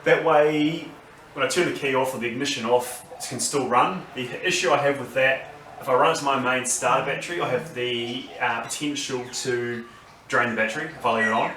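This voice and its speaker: A man with an Australian accent giving a casual, unscripted review, halting as he thinks aloud. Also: mid-range voice, sharp tone. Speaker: vlogger reviewing gear. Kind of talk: casual unboxing and review talk. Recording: roomy, distant mic, noisy background.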